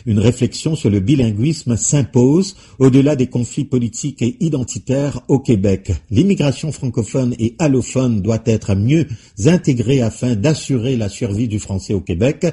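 A man's voice: mid-range voice, moderate pace, hardly any pauses, warm tone, slightly rough. A man hosting a radio interview, reading out questions and asking long, rambling follow-ups. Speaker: radio host.

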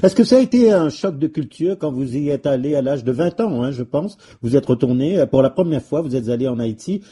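A male interviewer talks in a polite, conversational way. His voice is very mellow and dark, with a husky grain, at an average pitch for a man. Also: lively intonation, fairly quick.

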